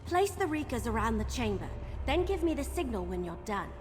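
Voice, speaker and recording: An actress playing a resourceful, eager young female archer in a fantasy game, animated and conspiratorial in manner. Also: on the high side, brisk. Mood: eager, scheming. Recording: hall reverb, music behind.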